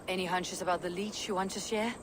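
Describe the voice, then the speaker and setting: Low-voiced, stern woman Viking warrior played by an actress. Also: steady tone, fast, noisy background, natural voice. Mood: measured, probing. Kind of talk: video game cutscene acting.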